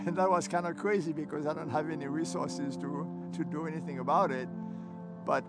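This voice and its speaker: A man from Haiti, recounting his ministry work in a measured documentary interview. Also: a little high, steady tone. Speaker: ministry leader. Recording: loud music bed underneath. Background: loud music.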